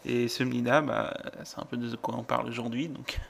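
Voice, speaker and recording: A man's voice: mid-range voice, level tone, normal speed, vocal fry, slightly rough. A French-speaking man co-hosting a casual Korean lesson in a joking, self-mocking manner. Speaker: co-host of a Korean language lesson. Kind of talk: casual teaching with bantering chat.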